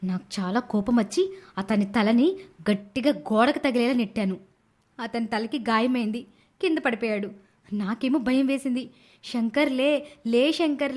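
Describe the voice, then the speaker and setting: Woman playing desperate female plotter, high voice, urgent, dramatic, animated story. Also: lightly acted. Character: scheming, desperate young woman who plots a killing. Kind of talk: animated moral story voice acting.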